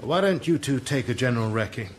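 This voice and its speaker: An actor playing a superior British intelligence colonel in a radio drama, clipped and sardonic with a British accent. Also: male voice, medium pitch, animated, brisk, natural voice. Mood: dry amusement. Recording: noisy radio drama, clicks.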